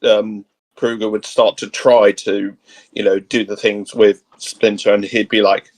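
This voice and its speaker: A man's voice: fairly low pitch, flat delivery, measured pace, poor audio. A man chatting casually as a co-host in a geeky discussion. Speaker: co-host of a comics discussion show.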